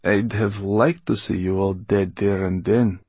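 A man voicing a cold, vengeful, commanding old woman who gloats deliberately in an audiobook. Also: fairly low pitch, fairly even intonation, darkish tone, husky, dry recording. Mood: gloating. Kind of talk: audiobook character acting.

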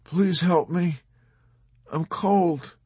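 A man's voice: fairly high pitch, very slow, dark tone, breathy edge, no room sound, pitched above the performer's own voice, exaggerated voice. A man voicing a wounded, freezing airman in an audiobook, whimpering weakly and plaintively. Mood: agonized, feeble.

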